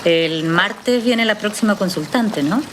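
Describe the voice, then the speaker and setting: An actress with a Chilean accent playing a skeptical, serious psychologist with a questioning manner in a naturalistic audio drama. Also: female voice, mid-range voice, a little slow, background noise, natural voice. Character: skeptical female trauma psychologist, probing and incredulous.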